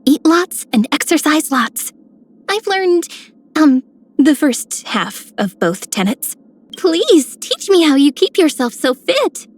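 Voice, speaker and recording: The easygoing, high-voiced young rogue who dawdles through her lines in an anime game. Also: sing-song, slow pace, full character voice. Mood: playful. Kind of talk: scripted character voicelines.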